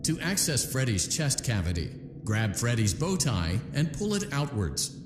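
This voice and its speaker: Echoing in a big reverberant space over prominent music, a chipper male tutorial instructor for a video game. His delivery is polished and animated, giving step-by-step directions like an announcer. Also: medium pitch, measured pace. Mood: instructive, upbeat. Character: chipper male instructor guiding a robot repair task. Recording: echoey hall reverb, loud music behind.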